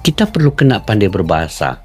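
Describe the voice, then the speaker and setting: A man narrating a video compilation in a calm, explaining voice-over. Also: lowish voice, fast talker, husky. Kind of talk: voice-over commentary. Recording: music bed under the voice.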